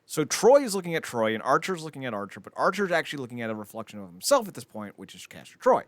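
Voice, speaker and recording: A man's voice: mid-range voice, sing-song, fast talker, no room sound. A man chatting casually as a podcast host, rambling, opinionated and freely profane. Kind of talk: casual podcast chat about films and entertainment news.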